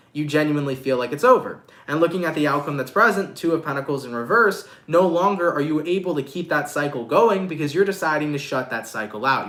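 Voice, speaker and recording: A man doing a casual tarot reading in a lively, slangy, affectionate way, sounding congested and nasal. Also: a little high, roomy sound. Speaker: tarot reader.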